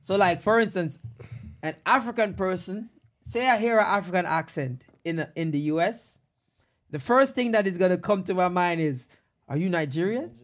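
Male host, higher register, casual discussion, animated storyteller. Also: normal speed. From Jamaica.

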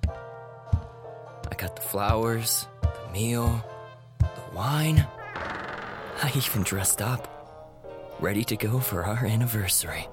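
An actor playing an obsessive, volatile male captor who swings from soft murmurs to screaming rage. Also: fairly low pitch, slow, pauses often, music in the background. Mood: tender. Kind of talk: horror monologue acting.

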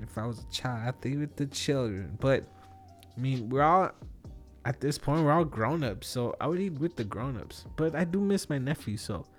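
A man in his thirties chatting casually and solo as a podcast host, thinking aloud in a rambling, slangy way. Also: medium pitch. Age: 30s. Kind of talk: casual solo podcast chat, answering questions.

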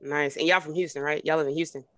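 Bright-voiced woman hosting a podcast. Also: deep voice, fast talker. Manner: relaxed, slangy, repeats words while asking questions. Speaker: podcast host. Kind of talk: casual interview chat.

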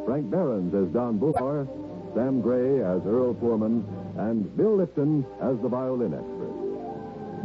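A man working as a 1960s radio announcer, reading scripted copy in a polished, emphatic style. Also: mid-range voice. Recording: tinny 1960s radio broadcast.

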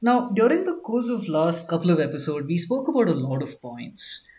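A man's voice: high-pitched, sing-song, moderate pace, darkish tone. Man co-hosting a podcast, muffled sound. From Kerala, India. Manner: explanatory, many restarts and "I mean". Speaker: podcast co-host. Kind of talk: casual conversational podcast. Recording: dull lo-fi sound.

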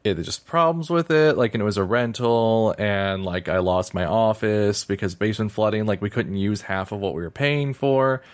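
A man from Missouri co-hosting a podcast in casual chat, talking in a fairly flat, monotone way with lots of "like". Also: on the low side, normal speed, hardly any pauses. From Missouri, USA. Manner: monotone, heavy use of "like". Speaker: podcast co-host.

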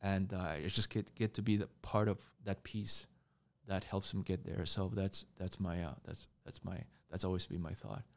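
Low-voiced man telling stories, halting delivery. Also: steady tone, creaky. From born in Taiwan, grew up in Argentina and Canada. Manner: halting, repeating words as he goes. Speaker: mentor and coach, podcast guest. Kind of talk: conversational storytelling in an interview.

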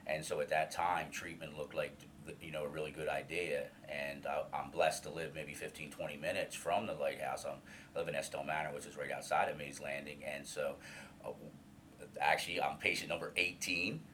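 A man's voice: rumbling low, level tone, brisk, slight background noise. A man in his fifties, a guest in an interview, speaking openly and earnestly about his past with many restarts and fillers. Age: 50s. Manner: loud voice. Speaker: alumni coordinator, guest.

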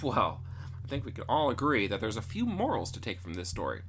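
A man's voice: fairly low pitch, wildly swooping pitch, fairly quick, fairly bright tone, slight background noise. A man narrating a storybook tale in a playful, theatrical way, with music behind him.

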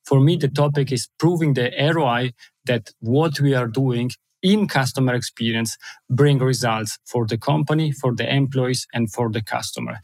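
A man's voice: medium pitch, a little mellow, dry recording. Enthusiastic man with an Italian accent. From Italy and Switzerland. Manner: enthusiastic, repeats words, laughs often. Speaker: guest. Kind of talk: interview.

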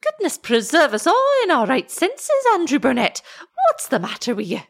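Lady putting on an old scottish wifie, high pitched, fretting and scolding, storybook tale. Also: huge pitch swings, moderate pace, vocal fry, husky, exaggerated voice. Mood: alarmed, scolding. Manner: fretful, exclamatory, questioning. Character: anxious, scolding old Scottish shepherd's wife.

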